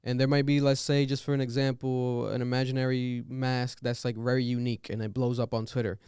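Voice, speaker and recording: A man's voice: mid-range voice, little pitch movement, brisk, darkish tone, clear, resonant tone, no echo, studio quality. A man with an American accent chatting casually as a podcast host, slangy, reflective and agreeable. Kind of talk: casual conversational podcast chat.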